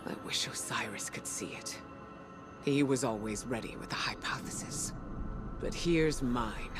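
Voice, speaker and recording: A woman playing a grave, weary female mystic leader in a dramatic video game cutscene, speaking solemnly and deliberately. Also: a bass voice. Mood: solemn, awed. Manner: solemn, deliberate, hushed at phrase ends. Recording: loud music under the voice. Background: loud music.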